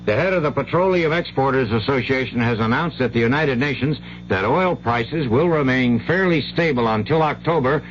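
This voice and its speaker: A man's voice: medium pitch, normal speed, few pauses, muffled recording, slight background noise. An American man reading a scripted radio newscast as anchor on an old 1970s broadcast. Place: radio studio.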